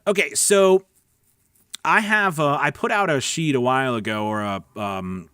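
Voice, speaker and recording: A man's voice: fairly high pitch, a little slow, fairly bright tone, clean tone, dry recording. Man coaching casually, close dry sound. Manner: conversational, emphatic, repeats words and checks in with "right?" and "okay?". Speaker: voice-over coach hosting a live Q&A stream. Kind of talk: casual instructional livestream chat, answering viewer questions.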